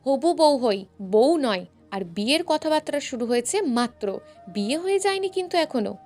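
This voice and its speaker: A woman's voice: sits high, lively intonation, moderate pace, faint music behind. A woman voicing a fiery, outspoken young female student in a story, indignant and scolding. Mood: angry. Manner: sharp, scolding.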